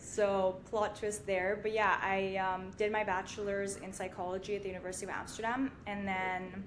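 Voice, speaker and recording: A young woman from Syria with a medium-pitched voice talks in a casual, chatty interview style. She drops "like" into her sentences often. There is clear noise and rumble behind her. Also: level tone, normal speed. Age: 20s. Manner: chatty, informal, frequent "like". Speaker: podcast guest, finance company partner.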